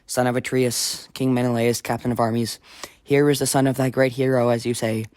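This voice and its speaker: A man's voice: mid-range voice, little pitch movement, fairly quick, a little mellow, dry recording, studio quality, natural voice. A man voicing a courteous, earnest young nobleman, formal and respectful, in an audiobook. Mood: deferential, earnest.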